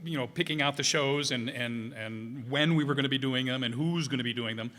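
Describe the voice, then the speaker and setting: A man's voice: mid-range voice, a little fast, a bit bright. A middle-aged man talking informally to a live audience, rambling and self-deprecating as he previews things. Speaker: director previewing upcoming shows. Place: live stage in a hall. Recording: reverberant hall.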